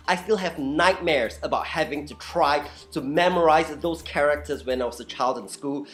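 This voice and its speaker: An opinionated vlogger of unclear sex giving an explainer talk in a candid, blunt, informal way, stressing points with dramatic repetition. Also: mid-range voice. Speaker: vlogger and language enthusiast.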